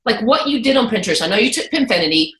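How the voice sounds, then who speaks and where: A woman's voice: on the high side, racing, reverberant. A woman hosting a casual chat, talking candidly and acting out little quoted thoughts as she goes. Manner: candid, animated, talks through asides and quoted thoughts. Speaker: host.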